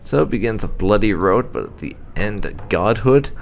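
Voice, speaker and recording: A man's voice: on the low side, expressive, normal speed, vocal fry, a little hiss or hum. A man voicing a vain, obsessive enchanter scholar from a fantasy game, theatrical and boastful. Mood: obsessed ambition.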